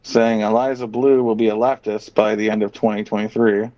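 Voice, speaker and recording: A man's voice: on the low side, level tone, fairly quick, dark tone. A man chatting casually as a podcast host, reading out items and reacting with profane, sardonic opinions. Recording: dull, muffled lo-fi audio.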